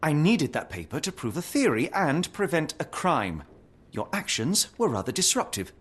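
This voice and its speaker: Arrogant detective, game cutscene, brusque, articulate, restless, lively higher-pitched delivery. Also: male voice, moderate pace, husky, exaggerated voice. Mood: impatient. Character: sharp, arrogant, restless young male consulting detective. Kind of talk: video game cutscene acting.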